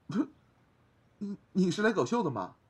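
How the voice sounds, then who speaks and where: A man's voice: high-pitched, big pitch swings, moderate pace, husky, exaggerated voice. A man playing a timid, exasperated companion in an audio drama, stammering and whiny. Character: timid, exasperated companion leaning on a bolder friend. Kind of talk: Chinese audio drama acting. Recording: faint noise behind the voice.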